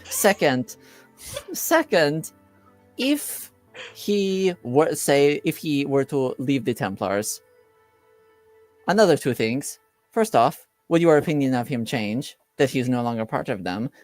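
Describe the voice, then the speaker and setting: A woman's voice: a bass voice, lively intonation, slow pace, darkish tone, no echo, faint music behind. A woman playing a tabletop roleplaying game, mixing casual in-character banter with bits of narration, teasing and repeating words for emphasis.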